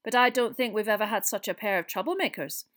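A woman voicing a stern female witch schoolteacher with a sharp, clipped, exacting manner in a storybook. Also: mid-range voice, quick pace, pitched above the performer's own voice, natural voice. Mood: brisk.

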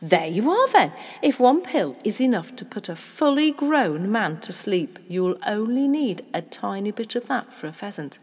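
Woman as storybook dad, scheming, excitable, affectionate, husky, animated, exclamatory, long echo. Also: mid-range voice, normal speed, hardly any pauses, pitched above the performer's own voice. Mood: bubbling enthusiasm. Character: excitable, scheming, affectionate father of a young boy. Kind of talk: children's storybook character acting.

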